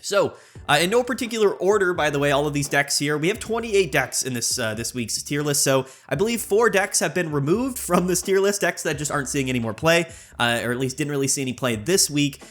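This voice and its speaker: A young man with a cold hosting his channel, giving quick, enthusiastic tier-list commentary on a card game with plenty of fillers. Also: fairly high pitch, a bit bright. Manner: rapid, enthusiastic, many fillers. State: sick, sniffly. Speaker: channel host.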